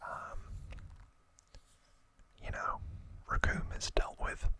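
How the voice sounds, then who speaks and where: Whispered ASMR, unhurried pace, crisp bright edge, casual host, halting delivery. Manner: casual, halting plot recap with asides.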